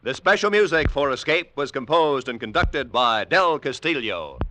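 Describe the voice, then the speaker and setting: A man's voice: mid-range voice, very animated, moderate pace. Male American network announcer, 1940s: scripted delivery, varied intonation, noisy vintage sound. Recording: noisy vintage radio recording.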